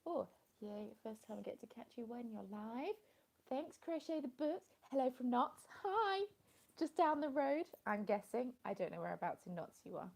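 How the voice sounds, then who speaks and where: A woman's voice: fairly high pitch, sing-song, moderate pace, gravelly. A woman chatting casually on a home live stream, rambling and greeting viewers, into a phone with a small plug-in mic. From England. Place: at home in the evening, dim light.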